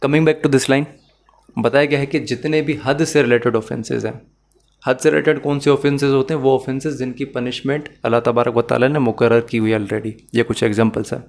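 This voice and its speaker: A man's voice: medium pitch, steady tone, brisk, darkish tone. Methodical Pakistani man giving a lecture. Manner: didactic, methodical, rephrases key points. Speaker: law lecturer. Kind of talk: explanatory lecture mixing in English terms.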